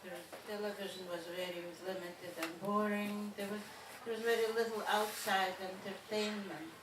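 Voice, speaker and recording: A woman in her seventies from Russia with a Russian accent, speaking as a guest with dry humor and halting phrasing. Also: medium pitch, fairly even intonation. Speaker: translator guest. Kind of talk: relaxed conversation at a bookstore event. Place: bookstore event room. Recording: echoey room, distant mic, background noise.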